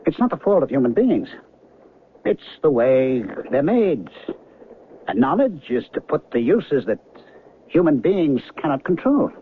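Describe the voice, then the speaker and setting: An actor playing an earnest, disillusioned, restless man in 1970s radio drama, speaking theatrically and emphatically with halting pauses. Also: on the high side, big pitch swings. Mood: grave, impassioned. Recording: thin phone-like old radio recording, noisy.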